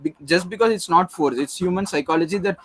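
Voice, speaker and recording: A man's voice: high-pitched, fast. A young man with an Indian English accent making his case in a group discussion, earnest, with many fillers and restarts. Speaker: debate participant.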